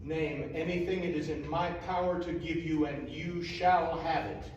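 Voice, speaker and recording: A man playing a noble young king, speaking in a solemn, measured way. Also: a little high, fairly even intonation, a little mellow, lo-fi sound, a little hiss or hum, close to an everyday voice. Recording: echoey room, mic far off.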